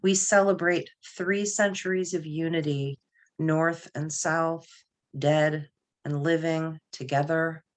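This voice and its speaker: Woman, low voice, unhurried slow pace, poetry reading, solemn, measured phrasing. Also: steady tone. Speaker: poet reading own work. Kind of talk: poetry reading with brief spoken introductions.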